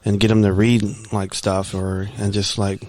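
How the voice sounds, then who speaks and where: An easygoing man co-hosting a podcast, with a fairly low voice. He is close on the mic in a dry room and scatters "you know" and "like" through his talk. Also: little pitch movement, moderate pace, a little mellow, no room sound. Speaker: podcast co-host. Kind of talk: casual chat.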